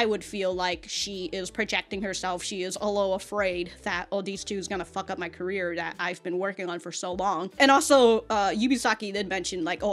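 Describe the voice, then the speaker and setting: An American woman giving casual, rambling reaction commentary, with an animated, slangy, sweary way of talking. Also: mid-range voice. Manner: animated, sweary, slangy, often laughing mid-thought. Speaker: reaction video host.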